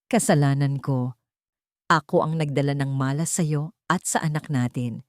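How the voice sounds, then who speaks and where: A woman's voice: deep voice, moderate pace, no room sound, studio quality, natural voice. A woman with a Filipino accent voicing an anguished, self-blaming young wife and mother in a story. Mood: guilty, tearful.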